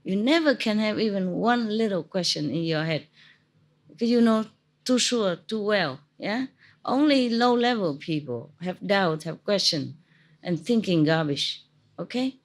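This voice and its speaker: A woman's voice: medium pitch, expressive, a little slow. A woman teacher hosting a gathering, speaking in a scolding, repetitive way and later teasing the guests. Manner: scolding then teasing, repeats words for emphasis. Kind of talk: informal Q&A with an audience.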